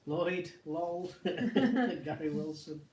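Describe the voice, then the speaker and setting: A man's voice: fairly high pitch, lively intonation, extremely slow, a little mellow. Dry-joking man on a livestream. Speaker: co-host of a motorhome live chat. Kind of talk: casual livestream chat. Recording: roomy echo.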